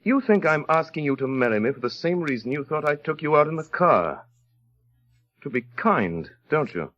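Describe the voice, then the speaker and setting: An actor playing a brusque, sardonic well-to-do gentleman in a 1930s radio drama, with diction and a clipped, imperious, teasing manner. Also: on the high side, very animated, normal speed. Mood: stern, impatient. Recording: dull, lo-fi vintage radio recording.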